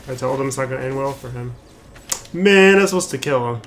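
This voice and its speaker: Male streamer, mid-range pitch, informal joking gameplay commentary, faint game ambience behind. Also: fast, a bit bright, slight background noise. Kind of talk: casual live gameplay commentary. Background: video game sound.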